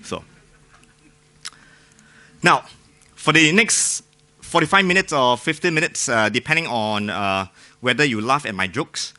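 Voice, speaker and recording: A man's voice: medium pitch, expressive, unhurried, pauses often, pushed and bright. A man with a Singaporean accent giving an informal, joking technical talk to a live audience, often putting questions to them. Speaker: conference speaker.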